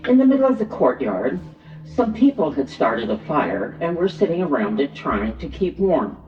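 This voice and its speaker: A man giving an expressive, dramatic narration of a Bible story. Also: on the high side, moderate pace. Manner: expressive, acts out quoted lines. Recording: distant, echoey church hall, dull sound, music.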